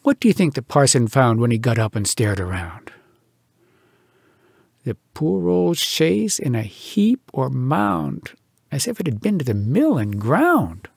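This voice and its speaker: Male storyteller for comic poetry: raspy, fairly high voice, expressive and theatrical, recorded close and dry. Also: dry recording. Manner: theatrical, animated delivery with dramatic pauses. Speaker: storyteller reading poems aloud.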